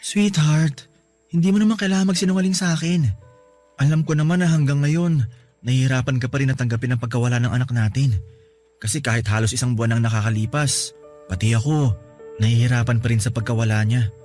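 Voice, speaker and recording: A man with a Filipino accent playing a gentle, grieving, reassuring husband in an emotional radio drama. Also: mid-range voice, steady tone, fast talker, soft background music, close to an everyday voice. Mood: gentle concern. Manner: tender, reassuring. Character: gentle, consoling husband grieving a lost baby.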